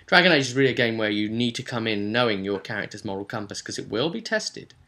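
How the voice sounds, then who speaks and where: A man with a slightly low, very bright and forceful voice hosts a casual recap of a tabletop role-play session. He talks as a game master, chatty and explanatory, often wandering into asides. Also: very animated, quick pace. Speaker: game master and video host.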